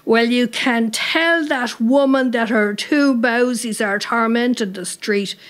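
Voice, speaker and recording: A woman's voice: on the high side, slow pace, pitched above the performer's own voice. A woman voicing a cantankerous old Irish woman in a story, indignant and scolding in an Irish accent. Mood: furious, scornful.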